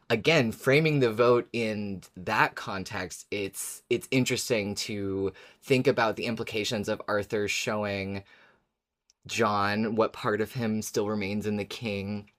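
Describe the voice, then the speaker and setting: A co-host speaks in a casual podcast discussion. The delivery is agreeable and thoughtful, with points built up gradually in halting phrases. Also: low-pitched, a bit bright.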